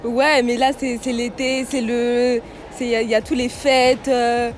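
A woman's voice: fairly high pitch, little pitch movement, moderate pace. A woman answering casually in an outdoor street interview. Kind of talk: casual chat. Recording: noisy outdoor street ambience.

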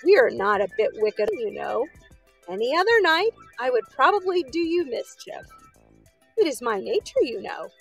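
A woman's voice: fairly high pitch, big pitch swings, normal speed, gravelly, slightly rough, faint music behind, exaggerated voice. A woman voicing a polite little storybook witch, genteel and condescending, with a mischievous streak. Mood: sly, reassuring.

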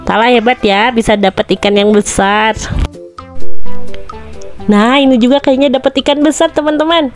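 A woman's voice: on the high side, moderate pace, pauses often, soft background music. A woman in her thirties, a family vlogger, chatting cheerfully to viewers as she films a tour of an outdoor fishing pond cafe on her phone. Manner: chatty, cheerful, excited cheers when fish are caught. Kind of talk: casual tour narration to viewers.

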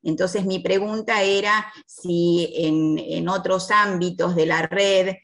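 A woman's voice: lowish voice, fairly even intonation, slow pace, a bit bright. A woman with an Argentine accent asking a question on a video call, searching for words with fillers. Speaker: conference attendee asking a question.

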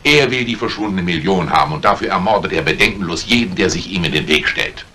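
An actor playing a brusque, authoritative police inspector in a 1950s German radio crime drama, questioning and giving orders deliberately. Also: male voice, deep voice, expressive, sharp tone. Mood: grave, determined. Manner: probing, commanding, deliberate. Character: brusque, authoritative middle-aged male police detective inspector. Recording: noisy vintage 1950s radio drama recording.

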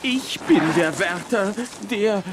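A nervous young jail guard in a Wild West audio drama for children. His high voice sounds exhausted and hesitant, stammering along, with clear noise behind him. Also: animated, takes it slowly. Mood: anxious, pleading. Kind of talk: German children's radio play acting.

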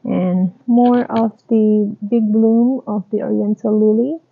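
A woman giving a casual tour of her garden, talking in a slow, halting, plain way. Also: medium pitch, steady tone, vocal fry, breathy edge, dry recording. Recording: dry, tinny phone-like sound.

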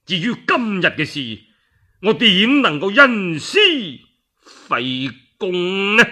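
A man plays a stern and honourable general in an old storybook tale, Cantonese in accent. His delivery is formal and unbending, slow, with a rough husky edge. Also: high-pitched, big pitch swings, sharp tone, heavily acted. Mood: stern, resolute.

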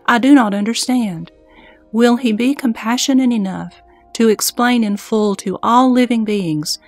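A woman voicing an earnest, questioning disciple in a scripture audio drama, reverent, formal and measured. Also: mid-range voice.

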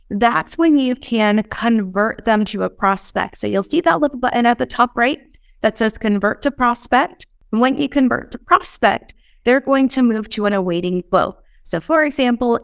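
A woman in her thirties explaining software step by step as a trainer, often checking in with short tag questions. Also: on the high side, gravelly, clear, resonant tone, no room sound. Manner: explanatory, checks in with "right?" and "okay?". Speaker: software trainer in customer support. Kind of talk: instructional screen-share walkthrough. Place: indoor room.

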